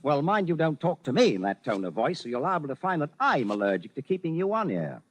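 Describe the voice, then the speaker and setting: An actor in old-time radio style playing a crabby, bossy older shopkeeper with a blunt, scolding manner. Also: male voice, a little high, very animated, fast talker. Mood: indignant. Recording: faintly noisy old radio recording.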